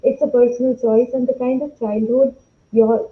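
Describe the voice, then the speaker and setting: A woman's voice: fairly high pitch, steady tone, normal speed, phone-quality sound. A woman speaking as an educator in a podcast discussion, reflective and earnest, over thin call audio. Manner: passionate, reflective. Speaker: educator, panelist.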